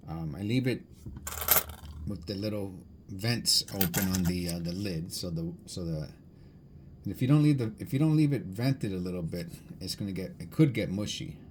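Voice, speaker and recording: A man's voice: low voice, lively intonation, normal speed, slight background noise. A man chatting casually and rambling as he sorts coins, musing aloud and often repeating or correcting himself. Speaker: coin hunting video host. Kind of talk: rambling casual chat while sorting coins.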